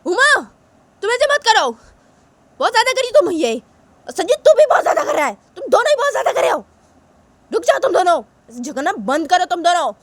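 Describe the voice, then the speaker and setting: A woman's voice: very high voice, big pitch swings, fairly quick, pitched above the performer's own voice, full character voice. A woman voicing a mother who shrieks at her quarrelling children to stop. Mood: frantic scolding. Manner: scolding, shrieking orders. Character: shrill scolding mother breaking up a fight.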